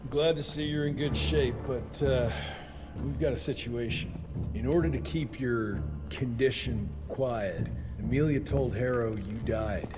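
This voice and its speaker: An actor playing a blunt, tough vampire hunter in a video game, over loud music. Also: male voice, mid-range voice, a little slow, close to an everyday voice. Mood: grim. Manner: blunt, curt.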